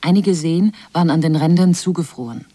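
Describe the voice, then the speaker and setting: A woman reading a German voice-over of a traveller's reflective account in a measured way for a radio feature. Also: low-pitched, dark tone, no room sound. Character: woman recalling her fascination with the Arctic, German voice-over. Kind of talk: read voice-over of translated testimony.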